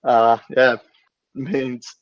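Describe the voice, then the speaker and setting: A man's voice: mid-range voice, level tone, very slow, no room sound, lo-fi sound. A man bantering casually as a podcast guest.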